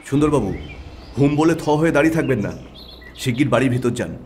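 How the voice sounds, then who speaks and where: A man's voice: mid-range voice, animated, fast, darkish tone, slight background noise, natural voice. A man voicing a shrewd, decisive detective in an audio story, with a stern, assertive manner. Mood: stern, urgent. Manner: assertive, explanatory.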